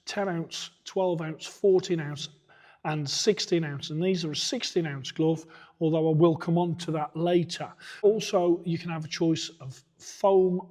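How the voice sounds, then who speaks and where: Man, boxing coach, hoarse from shouting, mellow tone, plain methodical review delivery. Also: a little high. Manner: methodical, plain-spoken, point by point. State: hoarse, losing his voice. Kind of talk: product review walkthrough.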